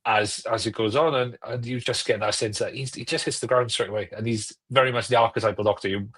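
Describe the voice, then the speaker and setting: A man's voice: medium pitch, fairly even intonation, fast talker, fairly bright tone, husky. A man on a podcast panel, chatting casually and enthusiastically, rephrasing as he goes.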